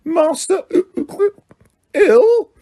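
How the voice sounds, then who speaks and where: A man voicing a tiny female house elf who is drunk and crying, with a squeaky, slurred, halting voice. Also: expressive, mellow, exaggerated voice. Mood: tearful drunk. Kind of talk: audiobook character voice.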